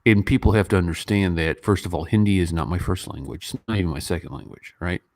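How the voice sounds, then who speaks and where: A man's voice: low-pitched, fast talker, no echo. A man chatting informally and in a rambling way as the host of a casual online livestream. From Western country. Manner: informal, animated when mimicking others, rambling. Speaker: YouTube host. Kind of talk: casual livestream chat and commentary.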